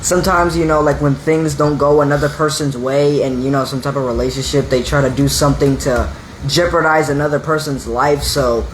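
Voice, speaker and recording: A man's voice: a little high, steady tone, brisk. Young man co-hosting, rambling casually. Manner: loose, rambling, full of "like" and "you know". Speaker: podcast co-host. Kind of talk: casual wrestling podcast chat. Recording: echoey room with faint hum.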